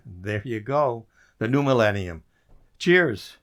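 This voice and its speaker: A man's voice: mid-range voice, expressive, slow. A man in a community radio play, playing a weary, wry government code breaker who reflects on his story. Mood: wry, resigned. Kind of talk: community theater radio drama, narration and dialogue. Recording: roomy, distant stage sound with noise.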